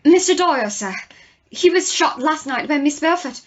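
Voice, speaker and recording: A woman's voice: high voice, animated, moderate pace, highs cut off. A woman in an audio play acting a deferential, nervous male ship's steward with a formal, hesitant manner. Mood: anxious, grave.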